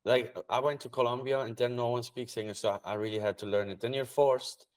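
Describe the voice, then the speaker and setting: A man's voice: medium pitch, fairly even intonation, fairly quick, a little mellow. A man from Switzerland whose first language is Swiss German, speaking English with a Swiss German accent as a guest on an interview podcast, plain and matter-of-fact. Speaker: trader and guest. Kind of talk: casual interview chat.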